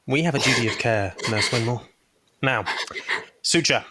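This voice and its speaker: An actor playing a flustered but dutiful Victorian doctor in a period horror audio drama, in an echoey space with music underneath. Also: male voice, mid-range voice, normal speed, pushed and bright, a little hiss or hum, lightly acted. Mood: grave urgency.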